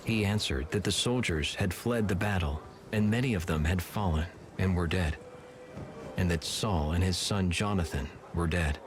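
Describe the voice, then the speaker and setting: A man narrating scripture from a script in a measured, solemn manner. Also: low voice, music in the background. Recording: clearly audible background noise and ambience.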